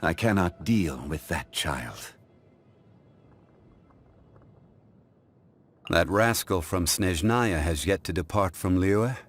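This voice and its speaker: Ancient immortal man, game character, very deep voice, slow deliberate delivery, composed, refined, wise. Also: animated, faint music behind. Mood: reflective, composed. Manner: measured, formal, refined, deliberate. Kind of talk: scripted video game character voice lines.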